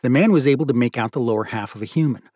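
A man narrating literary fiction from a script in a measured, deliberate storytelling manner. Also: mid-range voice, darkish tone, husky, dry recording, studio quality. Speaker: audiobook narrator.

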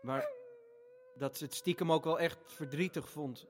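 Man playing an excitable high-voiced little brother. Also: normal speed, natural voice. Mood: playful, tender. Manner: exaggerated, playful delivery. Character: playful, excitable little brother telling fairy tales. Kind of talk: theatrical family audio play with storytelling.

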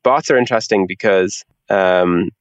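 A man's voice: low-pitched, moderate pace, no room sound, dull audio. A man being interviewed, explaining things in an informal, conversational way with a lot of filler phrases like "you know". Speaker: interview guest, tech product executive.

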